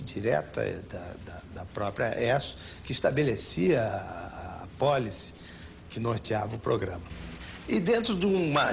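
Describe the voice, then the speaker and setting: An older man with a Brazilian accent explaining the past in an interview, hesitant and measured. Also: mid-range voice, creaky, fairly bright tone. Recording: faint noise, old TV tape.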